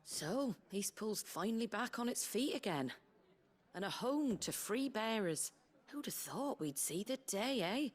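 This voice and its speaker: A woman playing a brisk, plain-spoken British innkeeper in a video game, earthy, colloquial and wry, tough but kindly. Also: mid-range voice, animated. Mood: brisk, teasing. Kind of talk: video game character dialogue. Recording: game music behind the voice.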